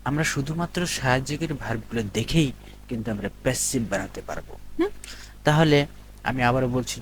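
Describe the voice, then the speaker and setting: A man's voice: medium pitch, a bit bright. Male tutor, noisy recording. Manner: instructional, repeats and lists points step by step. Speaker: English grammar tutor. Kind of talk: explanatory grammar lesson addressed to students. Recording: noticeable background noise.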